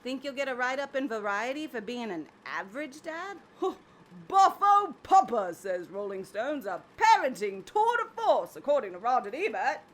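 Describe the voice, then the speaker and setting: A woman's voice: high voice, big pitch swings, normal speed. A woman voicing a brash, scornful, persuasive magic hat in an audio drama, sardonic and theatrical. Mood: mocking, scornful. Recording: faint background noise.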